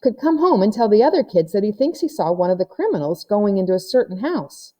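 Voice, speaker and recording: Woman as an earnest young girl, very mellow. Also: mid-range voice, big pitch swings, fairly quick. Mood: excited. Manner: earnest, explanatory. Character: earnest, imaginative sixth-grade girl, friendly and patient.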